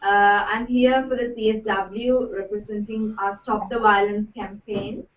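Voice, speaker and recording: A woman's voice: medium pitch, flat delivery, unhurried. A young woman with a Sri Lankan accent, introducing herself informally as a panelist on a video call. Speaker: youth delegate panelist. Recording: echoey, muffled, distant, faintly noisy call.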